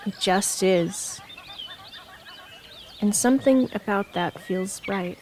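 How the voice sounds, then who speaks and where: A woman recites reflective poetry in a mid-range voice. Her phrasing is measured and contemplative. Light nature ambience with birdsong sits behind her. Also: medium pitch, slight background noise. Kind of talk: reflective spoken poetry reading. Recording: noticeable outdoor ambience with birdsong.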